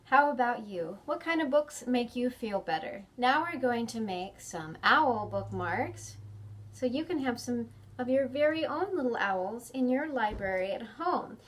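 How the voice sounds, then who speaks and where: Woman storytime host, slightly high voice, expressive delivery for preschoolers, asks the listeners questions. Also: unhurried. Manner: expressive, addresses young children with questions. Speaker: children's librarian storytime host. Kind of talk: animated picture-book reading and chat for preschoolers.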